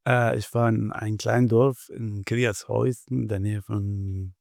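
A young man from Syria, answering interview questions in a halting way and pausing often to find his words. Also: on the low side, steady tone, vocal fry, a little mellow, no room sound, clean studio sound. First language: Arabic. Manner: halting, searching for words with many pauses. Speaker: interview guest, bank customer adviser.

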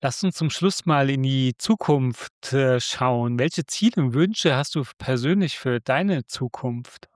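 A man's voice: medium pitch, slow pace, few pauses, no room sound, studio quality. A man co-hosting an interview, asking friendly questions and affirming his guest. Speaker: podcast co-host.

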